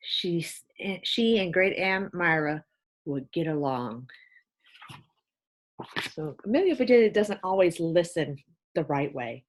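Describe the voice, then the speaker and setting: Woman, primary-teacher read-aloud narrator, slightly low pitch, explains the story in asides. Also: expressive, unhurried, long pauses. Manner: explains to young listeners in asides.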